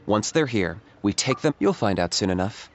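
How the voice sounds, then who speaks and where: An actor voicing a reserved young man who speaks calmly and to the point. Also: fairly low pitch, moderate pace, a little hiss or hum. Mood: businesslike. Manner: calm, matter-of-fact. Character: calm, reserved young man, blunt and businesslike.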